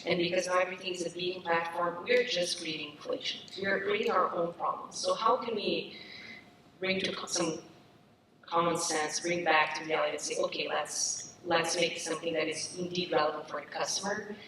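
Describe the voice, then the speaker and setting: A woman's voice: on the low side, steady tone, a little fast, a bit bright. A woman from Brazil with a Brazilian accent, speaking earnestly as a panelist, with many restarts and fillers. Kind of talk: panel discussion. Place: stage at a summit. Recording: echoey hall, distant mic, noisy.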